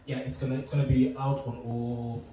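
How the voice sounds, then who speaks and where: A young adult man from Zimbabwe, a musician chatting casually as an interview guest, relaxed and hesitant with many fillers. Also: mid-range voice, brisk. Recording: roomy, distant, noisy room.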